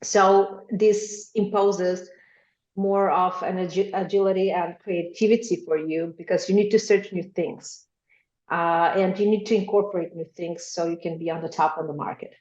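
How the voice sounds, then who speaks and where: A woman's voice: fairly low pitch, fairly even intonation, moderate pace, a little mellow. A woman with a Macedonian accent, a podcast guest answering at length with many ums and uhs. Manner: thoughtful, many ums and uhs, light laughs. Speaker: guest, machine translation specialist. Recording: roomy, dull lo-fi remote sound.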